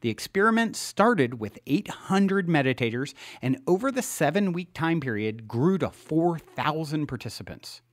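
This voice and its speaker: A man reading his own nonfiction book aloud as scripted narration, measured and emphatic, with dramatic pauses. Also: on the high side, sing-song, no room sound.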